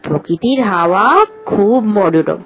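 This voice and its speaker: An elderly village aunt in a cartoon. She speaks in an unhurried, gentle way and gives patient advice. Her voice sits a little low for a woman. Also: expressive, creaky, faint music behind, poor audio. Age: older. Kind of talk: animated cartoon voice acting.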